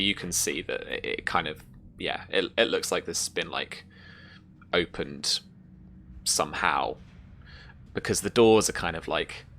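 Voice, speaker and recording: A man running a tabletop roleplaying game, narrating scenes and explaining rules in a halting, thinking-aloud way. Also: deep voice, pushed and bright. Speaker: game master. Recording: noticeable background noise.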